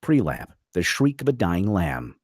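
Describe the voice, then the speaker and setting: A man with a low voice narrates a screenplay, reading scene headings and action. His delivery is dramatic with lively swings in pitch, recorded close and dry. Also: unhurried, dry recording. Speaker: narrator reading stage directions. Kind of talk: dramatic scripted narration at a table read.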